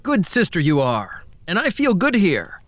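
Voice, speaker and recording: A man's voice: high voice, huge pitch swings, unhurried, fairly bright tone, no echo, heavily acted. A man playing an earnest, courteous young fairy-tale hero in a children's storybook cartoon. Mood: earnest, polite.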